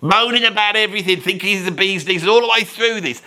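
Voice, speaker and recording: A man putting on a loudmouthed Birmingham bloke who rants bluntly and swears freely, every opinion delivered as gospel. His voice sits a touch high and sounds bright and forceful. A comic character monologue with a thick Brummie accent. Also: fast talker, exaggerated voice. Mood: ranting.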